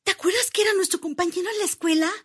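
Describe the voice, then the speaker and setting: A woman playing an excitable, easily frightened townswoman in a radio drama. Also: very high-pitched, rapid-fire. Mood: horrified.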